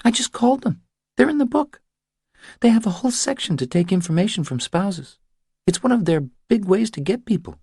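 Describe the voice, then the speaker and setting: Casting: male narrator as anxious, stubborn woman, lifted husky pitch, lively intonation, earnest pleading, audiobook dialogue. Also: fairly quick, no echo, studio quality, heavily acted. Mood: confiding, rueful. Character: anxious, stubborn woman confiding her troubles.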